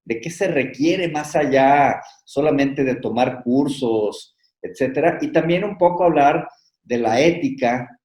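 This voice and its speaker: A man hosting an interview, introducing the topic in a measured way and listing points with pauses, speaking over a video call. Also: mid-range voice, darkish tone. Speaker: host. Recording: echoey room.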